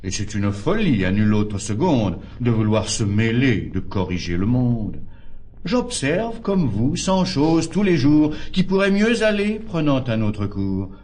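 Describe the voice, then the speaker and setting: An actor playing a tolerant, philosophical friend who urges moderation, speaking classical French verse in a measured, articulate way. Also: male voice, mid-range voice, big pitch swings, a little mellow. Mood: calm, philosophical.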